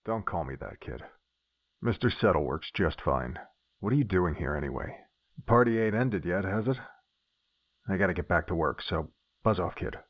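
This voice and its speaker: A man playing a gruff, irritable working father, curt and dismissive, in a muffled lo-fi horror audio drama. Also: low voice, expressive, moderate pace, pauses often, dry recording. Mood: irritated, dismissive.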